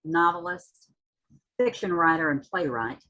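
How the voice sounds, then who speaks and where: Husky woman, lower-pitched voice, dramatic literary recitation, impassioned, emphatic, deliberate phrasing. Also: animated, pushed and bright, dull audio. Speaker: reader at a community literary read-in. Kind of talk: introduction and dramatic poetry recitation.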